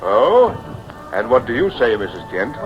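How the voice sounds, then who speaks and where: A man's voice: mid-range voice, sing-song, normal speed, close to an everyday voice. An actor playing a pushy carnival showman hypnotist with theatrical, declamatory patter, in the style of mid-century radio drama. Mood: pressing. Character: showman hypnotist professor, pushy, smooth-talking carnival barker. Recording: dull vintage radio recording, music behind.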